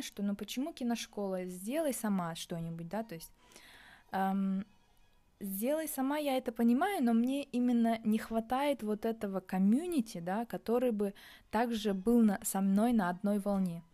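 Young woman, mellow voice, casual vlog musing, halting and searching for words. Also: mid-range voice. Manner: thinking aloud, hesitant with many pauses. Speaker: vlogger. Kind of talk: casual reflective monologue.

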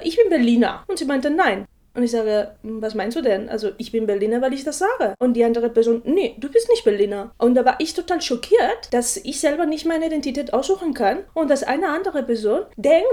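A woman's voice: a little high, warm tone. A woman interviewee telling a personal story and acting out the quoted dialogue as she goes. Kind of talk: personal anecdote.

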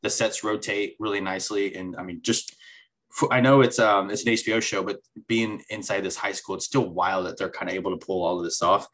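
A man's voice: low-pitched, steady tone, fairly quick, a bit bright. A man on a podcast recapping a TV episode in casual chat, rambling with many fillers and restarts. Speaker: podcast co-host.

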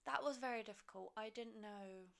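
A woman's voice: on the high side, normal speed, vocal fry, a bit bright. A woman in her twenties from Poland, chatting candidly in a casual question-and-answer vlog, swearing freely and confiding in her viewers. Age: 20s. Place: her own home.

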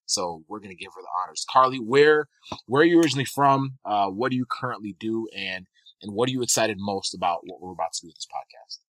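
A man's voice: lowish voice, expressive, fast talker, fairly bright tone, dry recording, rough recording. A man from Kenya hosting a casual podcast as a physical therapist, talking loosely and eagerly with many restarts.

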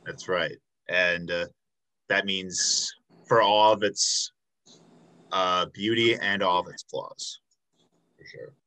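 A man's voice: deep voice, steady tone, takes it slowly, long pauses, sharp tone, rough recording. A man in his twenties hosting a casual interview, laid-back and loose, with lots of fillers and casual swearing. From Chicago south suburbs, USA. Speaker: podcast host.

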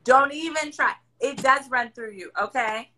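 A woman hosting a podcast, with a raspy voice, talking loudly and emphatically in casual chat. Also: high-pitched, normal speed, slight background noise. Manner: loud, emphatic, encouraging. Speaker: podcast host. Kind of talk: casual chat over a video call.

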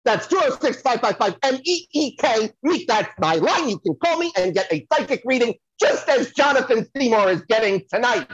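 Man playing a high-voiced, gushing celebrity psychic. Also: big pitch swings, moderate pace, hardly any pauses, fairly bright tone, breathy edge, no room sound, muffled recording, heavily acted. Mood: effusive, eager. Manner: effusive, rambling, repeats himself for emphasis. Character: flamboyant, touchy, self-promoting male celebrity psychic. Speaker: podcast guest. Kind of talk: comedic audio play banter.

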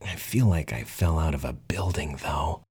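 A man's voice: very deep voice, moderate pace, a little mellow, husky, heavily acted. A man voicing an injured, stubborn female space pilot in a science fiction story, sounding groggy and strained. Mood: groggy, pained.

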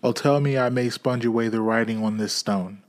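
A man voicing an overjoyed old miser who exclaims in a raised, excited voice, switching between pleading and giddy delight. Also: medium pitch, normal speed, lightly acted.

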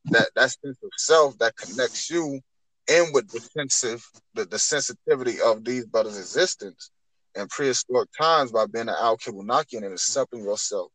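A man's voice: medium pitch, no echo, low-quality recording. A man in his twenties speaking earnestly on spiritual matters in conversation, often repeating and rephrasing as he builds a point. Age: 20s.

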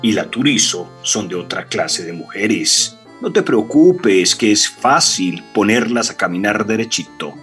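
Guy playing a dismissive colombian political sidekick, lowish slow voice, radio play, faint music under him. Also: animated, fairly bright tone. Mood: smug reassurance. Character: cautious, dismissive male crony of a politician. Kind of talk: radio drama acting.

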